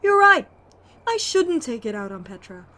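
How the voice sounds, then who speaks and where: A woman's voice: high-pitched, very animated, normal speed, bright, forceful tone, heavily acted. A woman acting a bitter, sarcastic, combative sister who survives in a zombie apocalypse audio drama. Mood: sarcastic, accusing. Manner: sarcastic, confrontational, swearing.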